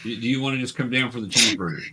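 A man's voice: mid-range voice, very fast, heavy background noise. A man acting as game master, patiently narrating and explaining the rules of a tabletop role-playing game to beginners.

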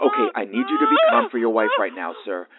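Casting: actor as composed male dispatcher, brisk, procedural, horror parody, tinny noisy phone line. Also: fairly low pitch. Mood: calm. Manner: calm, procedural. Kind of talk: comedy audio horror parody.

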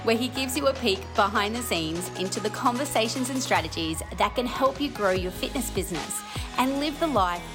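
A woman's voice: a little high, animated, brisk. Woman announcer over music. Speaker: show announcer. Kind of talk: scripted intro voice-over.

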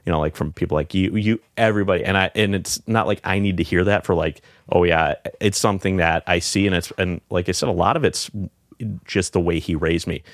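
Deep-voiced man, low and dry. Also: dry recording. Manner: reflective, halting, many fillers and restarts. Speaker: podcast guest. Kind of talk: casual heartfelt conversation.

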